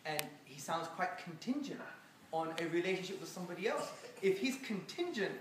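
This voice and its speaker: A man answering audience questions after a lecture, animated and joking with the crowd. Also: a little high, very echoey, recorded from a distance, slight background noise, rough recording. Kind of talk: public lecture Q&A.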